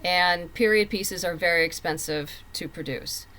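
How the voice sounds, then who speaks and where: A woman's voice: lowish voice, moderate pace, pushed and bright, poor audio. A woman speaking candidly and conversationally as a guest in a casual interview about her own work. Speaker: interview guest, comic book writer.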